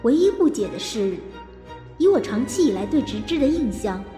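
A woman's voice: on the high side, sing-song, normal speed, mellow. Brooding woman out for revenge, radio drama, long echoey reverb, music under her. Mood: troubled, resolute. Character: vengeful woman narrator, coolly plotting murder while acting polite. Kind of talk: broadcast drama acting with inner monologue.

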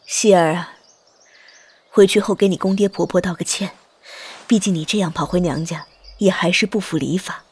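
A woman playing a caring older mother and grandmother who gently admonishes, with a husky voice, in a Chinese period audio drama. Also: on the low side, moderate pace, pauses often, slight background noise, lightly acted. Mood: admonishing. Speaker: voice actor in an amateur audio drama.

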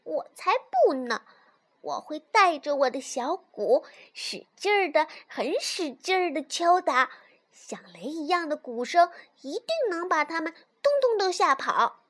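A woman's voice: expressive, unhurried, fairly bright tone. A woman voicing a bold, boastful little fox child in a storybook, with an eager, emphatic childlike delivery. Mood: proud, excited. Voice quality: high, childlike.

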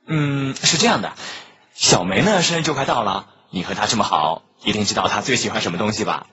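A man playing a teenage schoolboy in an audio drama, speaking softly and earnestly. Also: lowish voice, lively intonation, a little fast, lo-fi sound. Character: teenage schoolboy Luo, shy classmate. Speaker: male lead.

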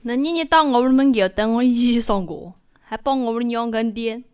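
A woman's voice: on the high side, moderate pace, darkish tone, clear, resonant tone, natural voice. A woman acting a guarded, naive young female student in a Chinese audio drama, speaking with a regional dialect accent. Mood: hesitant. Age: young adult.

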